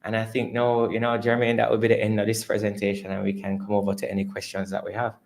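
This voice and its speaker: Male, Jamaican accent, low pitch, company executive, conversational business presentation, repeats and rephrases as he goes. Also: completely flat delivery, fast talker, creaky, darkish tone, slight background noise. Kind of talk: earnings call presentation and answers.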